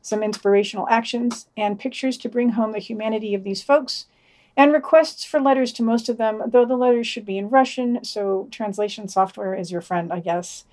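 A woman's voice: on the high side, fairly even intonation, moderate pace, darkish tone. A woman co-hosting a podcast, reading scripted news in a dry, sardonic way with wry, opinionated asides.

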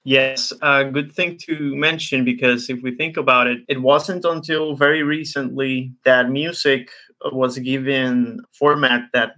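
Bright, sharp-voiced man, meandering as he talks. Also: mid-range voice, steady tone. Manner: halting, meandering, repeats words. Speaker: podcast co-host. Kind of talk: casual discussion.

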